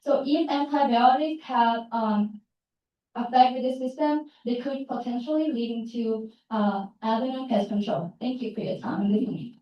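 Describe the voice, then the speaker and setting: A young woman presents her research in a large, very echoey hall, caught from a distance on a dull, muffled recording. Her voice sits a bit high, and she talks haltingly, often pausing to find the right words. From Thailand. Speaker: student presenter. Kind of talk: short research talk and Q&A.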